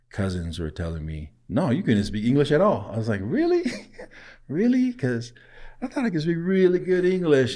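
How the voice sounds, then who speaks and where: A man from Samoa who grew up speaking tells stories in easy conversation, often breaking into laughter. His voice sits very low. He sounds like a guest in his thirties on a relaxed podcast. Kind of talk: casual conversational storytelling.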